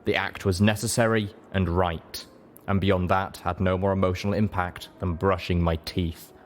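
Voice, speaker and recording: A man reading a dramatic story as an audiobook narrator, measured, solemn and emotive. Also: low-pitched, fairly even intonation, slight background noise. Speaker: audiobook reader.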